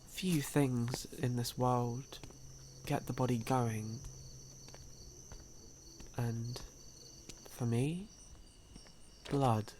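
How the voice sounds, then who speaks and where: A man playing a suave, sly, hungry male vampire in an ASMR audio roleplay, speaking in a teasing, drawn-out manner. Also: medium pitch, a little mellow, slight background noise. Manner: slow, drawn-out, teasing, with breathy chuckles.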